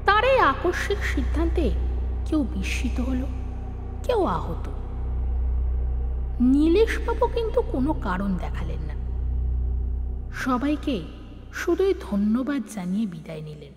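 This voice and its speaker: A woman narrates ghost fiction, building suspense dramatically. Her high voice moves slowly, with long reverb around it and music behind. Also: sing-song. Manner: suspenseful, repeats phrases for effect.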